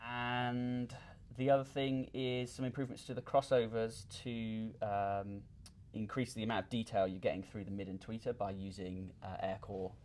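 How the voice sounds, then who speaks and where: A man speaking as a product designer in an interview, explaining technical details informally with frequent hesitations and pauses. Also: fairly low pitch, little pitch movement, darkish tone, slight background noise. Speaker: loudspeaker designer and company representative.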